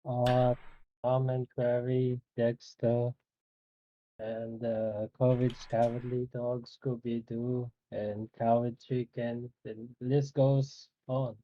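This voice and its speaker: A male caller with a Chinese accent, speaking slowly and haltingly. His voice is very dark and mellow. The audio is dull and lo-fi, like a call connection. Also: mid-range voice, little pitch movement, a little hiss or hum. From China. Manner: halting, searching for words. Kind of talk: casual chat.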